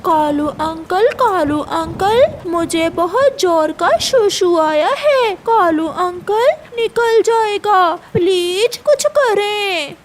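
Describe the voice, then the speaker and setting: Woman doing a little boy bird, extremely high pitch, unhurried, whiny, impish, children's cartoon. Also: expressive, slight background noise, exaggerated voice. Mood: urgent, whiny. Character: mischievous little boy bird pestering his uncle. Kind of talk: Hindi children's cartoon story acting.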